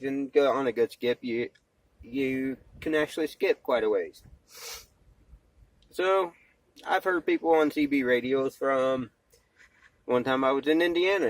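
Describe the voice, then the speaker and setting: A man's voice: mid-range voice, dry recording, rough recording. A man talking casually and plainly into a cell phone, in a folksy, slangy, rambling vlog style. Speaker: amateur vlogger and CB radio hobbyist, former truck driver.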